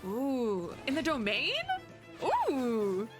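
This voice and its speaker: A woman giving casual, excited reaction commentary as a streamer, rambling, gushing and often cutting herself off. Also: a little high, drawn-out words. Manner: rambling, gushing, self-interrupting. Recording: music playing behind the voice. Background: game trailer music.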